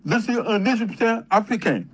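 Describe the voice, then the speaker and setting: A man with a Senegalese accent speaks in a husky, slightly high voice. He delivers an impassioned patriotic talk like a storyteller, leaning on repetition and emphatic, rhetorical phrasing. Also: animated, normal speed. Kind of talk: impassioned patriotic public talk, switching between French and Wolof.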